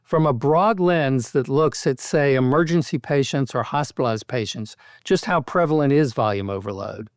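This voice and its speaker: A man speaking as a podcast host, asking structured medical questions in a measured, articulate way. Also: mid-range voice, dry recording, studio quality.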